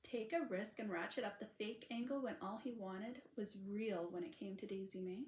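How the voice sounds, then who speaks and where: A woman's voice: medium pitch, normal speed, a little mellow, slight background noise. Woman reading romance in an echoey room. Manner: informal asides to listeners between passages. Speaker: author reading own novel aloud. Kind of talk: expressive audiobook narration of a sweet romance. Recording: unedited roomy home recording.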